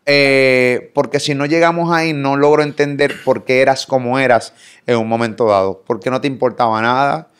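A Puerto Rican man in his thirties hosting a casual podcast interview in a studio, energetic and playful, talking with his hands. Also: mid-range voice, moderate pace, clean tone. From Puerto Rico. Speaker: podcast host. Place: pink studio set. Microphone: boom studio mic.

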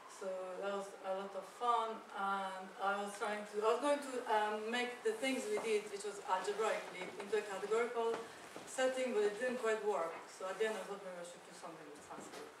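A woman whose first language is Persian gives a chatty, self-deprecating conference talk with many fillers and restarts. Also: medium pitch. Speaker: academic speaker. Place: lecture room. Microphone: far camera. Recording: echoey lecture room from far off, noisy.

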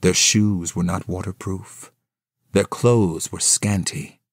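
Low-pitched, slow male storyteller. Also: steady tone, dry recording, studio quality. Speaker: narrator of an audio play. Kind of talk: scripted dramatic storybook narration.

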